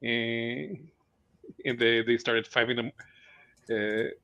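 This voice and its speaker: A man from Portugal co-hosting a casual live chat, speaking haltingly and chuckling at his own jokes. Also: mid-range voice, fairly even intonation, creaky, sharp tone. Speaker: co-host. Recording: dull lo-fi mic.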